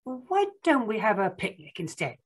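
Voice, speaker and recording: A woman's voice: mid-range voice, very animated, normal speed, husky. A woman voicing a kind, patient, affectionate mother in a children's storybook. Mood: playful.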